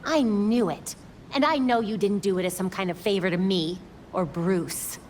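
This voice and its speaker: An actress playing a young female vigilante hero in a video game cutscene, blunt, sharp and sarcastic. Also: mid-range voice, moderate pace, a little hiss or hum, natural voice. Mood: bitter, sarcastic.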